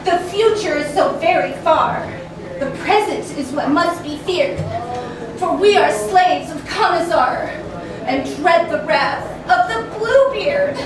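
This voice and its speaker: A man playing a fearful, downtrodden housemaid with shrill, overwrought delivery in a campy live stage melodrama. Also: animated, slow pace, a crowd in the background, exaggerated voice. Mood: gloomy dread. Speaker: actor in a staged reading. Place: live venue with audience. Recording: echoey hall, far mic, audience noise.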